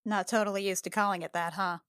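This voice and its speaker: A casual, curious female adventurer acted out by a woman talks in halting, colloquial phrases, tactful and a little hesitant. Her mid-pitched voice sits in a very dry, close recording. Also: fairly even intonation, fairly bright tone, smooth, no echo, natural voice. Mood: thoughtful, uneasy. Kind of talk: in-character tabletop roleplay.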